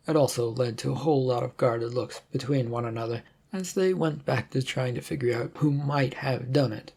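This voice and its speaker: A narrator reads scripted fiction in a husky voice with plenty of creak. The delivery is that of someone telling a detective story set in space, shifting between description and dialogue. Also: mid-range voice, a little mellow. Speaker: story reader.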